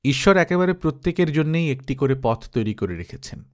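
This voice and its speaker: A man voicing a wise old king who teaches calmly in an audiobook. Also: on the high side, fairly quick, natural voice. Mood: wise guidance. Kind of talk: audiobook dialogue.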